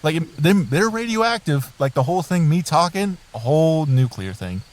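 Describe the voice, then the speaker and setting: A man's voice: a little high, lively intonation, moderate pace, a crowd in the background, close to an everyday voice. A man playing a patient magical tree that guards a forest, talking casually and hesitantly in improvised audio comedy. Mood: negotiating, hesitant. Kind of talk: improvised comic audio drama. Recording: background noise with chirping crickets.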